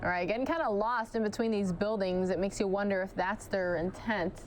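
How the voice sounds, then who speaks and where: Chatty woman anchor, voice going hoarse. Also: mid-range voice, steady tone, brisk, slight background noise. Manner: chatty, addresses viewers directly. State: losing her voice. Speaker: news anchor. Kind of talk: live chase commentary.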